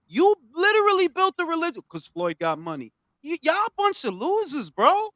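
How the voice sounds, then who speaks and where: A man hosting a boxing talk show, ranting with heavy profanity and swinging from low mutters to loud outbursts. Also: wildly swooping pitch, moderate pace, dry recording, studio quality. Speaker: podcast host.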